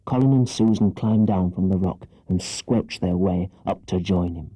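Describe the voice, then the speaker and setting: A male audiobook narrator tells a children's adventure with dramatic care. His voice is lowish, very dark and husky. The recording is dry, with little room around the words. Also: normal speed, no echo, poor audio.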